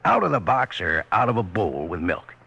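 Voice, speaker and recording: An actor playing a hearty old cowboy announcer with a Western American accent and gravelly voice, giving a vintage radio pitch. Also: male voice, big pitch swings, normal speed, bright, forceful tone, highs cut off, full character voice. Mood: hearty, folksy. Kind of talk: 1950s radio advertising pitch.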